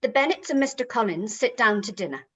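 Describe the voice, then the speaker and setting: A woman's voice: fairly high pitch, animated, fast talker, slightly rough. A woman giving short scripted scene narration as the narrator of a period radio play.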